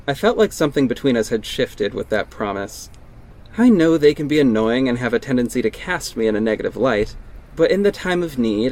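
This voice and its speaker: A man's voice: medium pitch, darkish tone, close to an everyday voice. Man playing a sardonic private investigator. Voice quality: dry, sarcastic. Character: sardonic young male private investigator and vigilante. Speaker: first-person narrator. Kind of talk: scripted audio drama narration.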